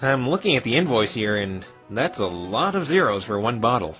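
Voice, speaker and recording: Man voicing game defense lawyer, mid-pitched, expressive, sardonic, exasperated, music behind. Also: normal speed. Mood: puzzled. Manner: dry, sardonic. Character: exasperated, sarcastic young male defense lawyer. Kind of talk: fan-dub visual novel character acting.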